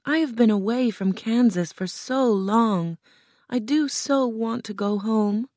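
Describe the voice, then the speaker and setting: A woman's voice: on the high side, a little slow, a little mellow, no room sound, clean studio sound, voice pushed up, close to an everyday voice. A woman voicing a small, earnest young girl in a storybook, polite, meek and plaintive. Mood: earnest, pleading. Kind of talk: storybook character voice.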